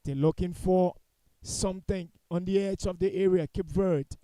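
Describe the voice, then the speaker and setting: A male football commentator with a high-pitched, mellow voice. He calls the match live and offers blunt, critical opinions, repeating phrases for emphasis. The sound is dry and close. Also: moderate pace, clear, resonant tone, no room sound, poor audio.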